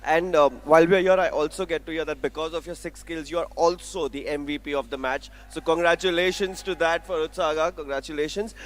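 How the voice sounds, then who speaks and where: A man interviewing a winning player on stage at an esports event, upbeat and congratulatory. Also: on the high side. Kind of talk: post-match esports interview.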